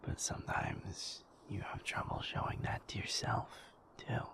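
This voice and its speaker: A man playing a gentle, patient werewolf in an intimate audio roleplay, soothing and reassuring in a halting, breathy, creaky whisper. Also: lightly acted. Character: gentle, patient male werewolf comforting a sleepless partner.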